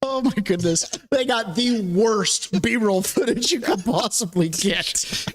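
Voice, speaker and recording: A man's voice: sits high, animated, takes it slowly. A man hosting a casual political talk show, joking and sardonic, laughing often. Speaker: show host.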